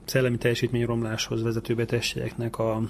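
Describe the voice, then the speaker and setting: A man's voice: medium pitch, monotone. A man giving explanatory interview answers as a medical expert, with faint noise behind him.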